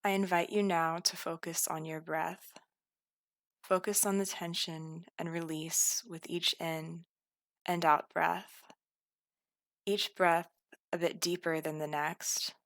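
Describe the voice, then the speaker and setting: A woman guiding a scripted meditation in a calm, soothing, deliberate manner. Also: fairly low pitch, dry recording, clean studio sound. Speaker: meditation guide.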